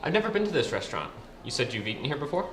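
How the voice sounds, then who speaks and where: A man plays an anxious young male writer with a lively, swinging delivery. He is defensive and dryly sarcastic, in comedy-drama dialogue recorded in a roomy space with faint noise. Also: medium pitch, very fast. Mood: polite, nervous. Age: young adult. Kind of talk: acted dialogue in a comedy-drama web series.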